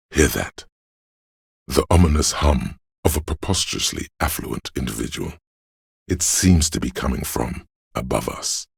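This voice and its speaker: A man voicing a slick, greedy, cocky hustler in a video game, with smug, goading salesman patter in a gravelly, husky voice. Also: extremely low pitch, no echo, clean studio sound, exaggerated voice. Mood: conspiratorial, urgent. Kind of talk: video game character voice acting.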